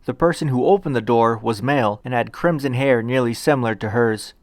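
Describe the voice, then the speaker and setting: A man's voice: mid-range voice, fairly quick, no room sound. Man narrating a story, very dry sound. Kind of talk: scripted story narration of fan fiction.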